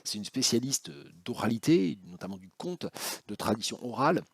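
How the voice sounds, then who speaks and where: A man's voice: mid-range voice, very animated, a little fast, breathy edge, dry recording. A man talking casually about borrowed books, with hesitant speech full of fillers and restarts. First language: French. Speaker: university academic, book vlogger. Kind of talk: casual spoken book vlog.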